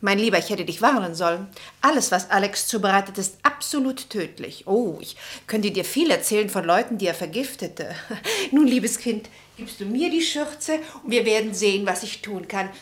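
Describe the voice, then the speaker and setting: A woman's voice: mid-range voice, animated, moderate pace, lightly acted. An actress in a German radio drama playing a meddling older society lady, chatty, theatrical and commanding. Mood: gossipy, bossy.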